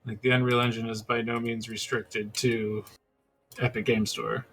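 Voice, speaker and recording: A man with a bright, forward voice at a middling pitch co-hosts a podcast. He shares his opinions in loose, casual conversation. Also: little pitch movement, moderate pace, low-quality recording. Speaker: podcast co-host.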